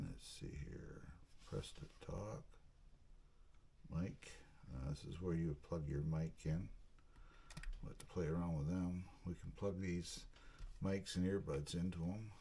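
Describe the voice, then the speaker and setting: Very deep-voiced man doing casual unboxing. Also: dark tone. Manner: halting, muttering to himself while working. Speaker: video host, product unboxer. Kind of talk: casual hands-on unboxing commentary. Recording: clear background noise, handling clicks.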